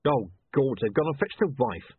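A man's voice: mid-range voice, very animated, normal speed, breathy edge, dry recording, narrow, tinny audio, voice pushed up. A man voicing a cheeky old working man, blithe and wheedling, in colloquial talk for an audiobook. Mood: cheerful, wheedling. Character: cheeky sheepish old steeplejack threatening to jump.

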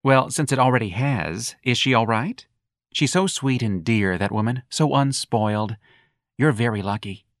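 A man voicing a flamboyant, teasing young man who mocks in a high, gleeful voice. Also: animated, moderate pace, dry recording, clean studio sound, lightly acted. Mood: catty. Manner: campy, mocking, shrieking.

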